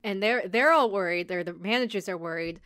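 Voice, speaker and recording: A woman's voice: medium pitch, fairly quick, fairly bright tone, clear, resonant tone, no room sound, clean studio sound. A woman hosting a podcast, chatting casually and acting out bits as she recaps a show. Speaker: podcast host. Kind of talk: casual chat recapping an anime.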